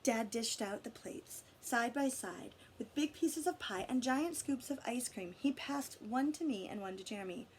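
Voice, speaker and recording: A woman reading a picture book aloud expressively to children, pausing to guide them with questions. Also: fairly high pitch, lively intonation, slight background noise, poor audio. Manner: pauses to question and guide young listeners. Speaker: read-aloud storyteller for children.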